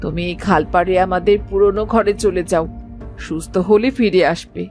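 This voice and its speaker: A woman's voice: on the low side, steady tone, normal speed, lightly acted. A woman voicing a cruel, bossy older village mother-in-law in a cartoon, scolding and commanding. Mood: stern, dismissive. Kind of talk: cartoon moral story voice acting. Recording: loud background music.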